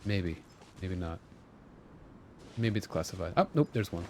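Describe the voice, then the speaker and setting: A man's voice: low voice, expressive, measured pace, darkish tone, slight background noise. A man chatting casually as a game streamer, talking to himself and to his viewers.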